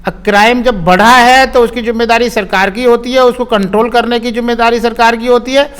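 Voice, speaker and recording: A man's voice: very high voice, fairly even intonation, fairly quick, fairly bright tone, clear, resonant tone. A man speaking as an interviewee in impassioned political commentary, with an emphatic, indignant, repetitive way of talking. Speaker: interviewee commenting on crime and government.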